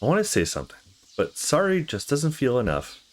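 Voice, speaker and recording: A man's voice: medium pitch, huge pitch swings, fairly quick, voice pushed up. A man voicing a supportive young woman in a visual novel, an earnest and sympathetic close friend. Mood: gentle, sympathetic. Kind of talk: visual novel character read aloud.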